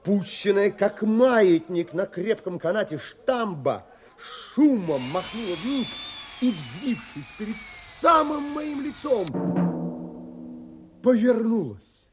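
A man's voice: sits high, animated, takes it slowly, over music. An actor playing an earnest, troubled adventurer who tells his own story in theatrical, emotionally involved audio drama narration. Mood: tense, urgent. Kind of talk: dramatic first-person literary narration.

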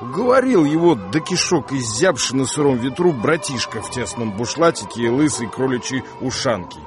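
A man's voice: mid-range voice, sing-song, normal speed. A man narrating a story for a radio play in a dramatic, wry, colloquial way. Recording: music bed behind the voice.

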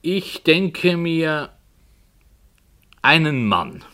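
A man playing a brooding writer in a radio play, solemnly and hesitantly telling a dark tale with earnest, dramatic emphasis. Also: fairly high pitch, sing-song, heavily acted. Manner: slow, hesitant, earnest, dramatic swells and hushes. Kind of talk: 1960 German radio play, literary storytelling.

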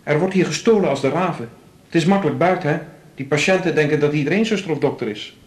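A Dutch actor playing an officious, flippant nursing-home porter in a radio drama, wordy and mock-formal, in an echoing hall. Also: male voice, a little high, fast, lightly acted. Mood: chatty, defensive. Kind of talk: naturalistic 1980s radio drama acting. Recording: roomy, echoing studio scene.

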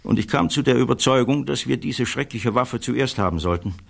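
An actor playing a thoughtful physicist giving measured, earnest testimony in a German radio play. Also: male voice, medium pitch, animated, gravelly. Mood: grave, troubled. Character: thoughtful male physicist witness, earnest, troubled by conscience.

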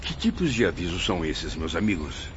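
A man's voice: deep voice, sing-song, brisk. A man acting a humble, earnest seeker questioning his mentors in a radio drama, formally, with a Brazilian accent. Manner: formal, inquiring. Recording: dull lo-fi recording, background noise.

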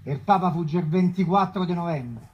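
An actor playing an animated Roman chronicler, declaiming history in theatrical dialect. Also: mid-range voice, moderate pace, slightly rough, highs cut off, natural voice. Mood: declamatory.

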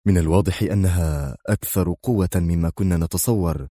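A man's voice: sits low, brisk, dark tone, dry recording, studio quality. A man voicing a cold, hard male agent in an audiobook, with an unemotional manner. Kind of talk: audiobook character voice.

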